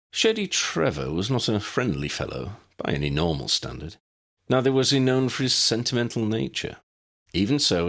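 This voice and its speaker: A man narrating an audiobook of his own fantasy story in a measured, expressive manner. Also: deep voice.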